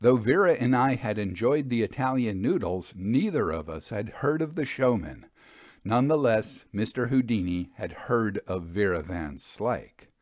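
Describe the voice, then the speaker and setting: A man narrating a scripted story in a measured, expressive, bookish manner. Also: lowish voice, no room sound, clean studio sound.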